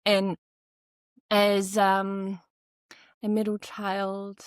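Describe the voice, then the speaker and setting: A woman's voice: medium pitch, monotone, very slow, smooth, no room sound. A woman in her thirties from Austria, a podcast guest telling her personal story in a chatty way, often laughing and restarting her sentences.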